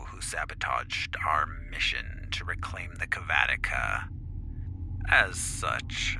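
An actor playing a pompous, cold and venomous corporate counselor with formal, deliberate diction and a gravelly voice in a science fiction audio drama. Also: male voice, a bass voice, sharp tone, slight background noise, full character voice. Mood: grave, disdainful.

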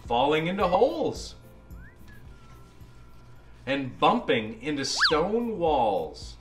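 A man reading a children's picture book aloud in an expressive, dramatic and deliberate way. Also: on the high side, roomy sound, over music. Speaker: storyteller.

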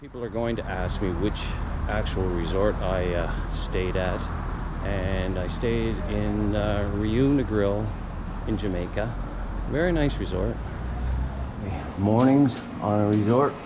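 A man hosting a fishing vlog, talking casually in a folksy, unscripted, halting way. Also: on the low side, very noisy. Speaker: fishing channel host. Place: garage, outdoor beach and shoreline, varied spots.

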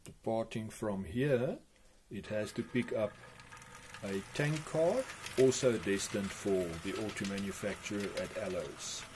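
A man explains things for a hobby video in a fairly low voice. He speaks in measured, broken phrases with pauses. The amateur recording has noticeable background noise. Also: a little mellow. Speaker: model railway hobbyist narrator. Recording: clearly noisy amateur recording.

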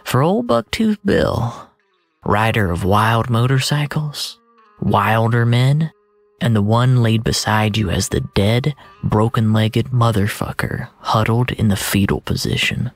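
A storyteller narrating first-person literary fiction in a deliberate, brooding manner, with phrases that sink into a hushed breath. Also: mid-range voice, expressive, faint music behind.

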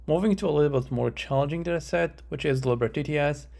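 A man's voice: on the high side, fast talker, vocal fry, darkish tone. A man giving a scripted, methodical academic presentation as a researcher explaining a paper.

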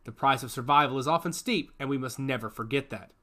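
A man voicing a calm, wry young man who supports his siblings in a read-aloud story. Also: medium pitch, quick pace, close to an everyday voice.

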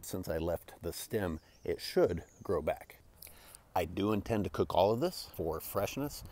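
A man's voice: low-pitched, expressive, unhurried, a little mellow, a little hiss or hum. A young man giving scripted, tongue-in-cheek voice-over narration for his foraging vlog.

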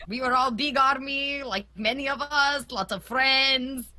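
A woman's voice: high-pitched, unhurried, bright, forceful tone, dry recording, exaggerated voice. A woman voicing a boisterous, friendly communist warrior in an improvised cartoon fandub, with a put-on Russian accent and broken English. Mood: bewildered, brash. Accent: put-on Russian or Ukrainian. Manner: hammy broken English, slogan-spouting.

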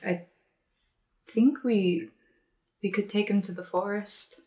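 A woman's voice: mid-range voice, takes it slowly, a little mellow, rough recording. A woman talking casually as a player in a tabletop roleplaying game. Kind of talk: casual chat.

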